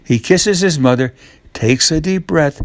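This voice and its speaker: A man narrating a scripted children's story, reading aloud in a measured, expressive way. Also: fairly high pitch, no echo.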